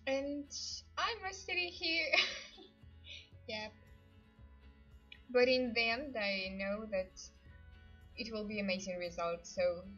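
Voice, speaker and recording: A woman with an Eastern European accent hosts a casual livestream in a fairly high voice. She speaks very slowly and haltingly, with a giggly, hesitant way of putting her English together. The sound is dull and lo-fi, with music playing behind her. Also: a bit bright. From Ukraine. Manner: giggly, hesitant non-native English phrasing. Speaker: livestream host. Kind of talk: casual livestream chat with viewers.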